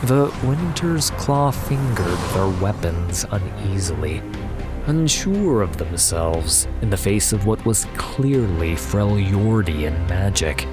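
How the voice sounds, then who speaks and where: Male narrator for dramatic fantasy tale: unhurried, lowish pitch, scripted read over clear music.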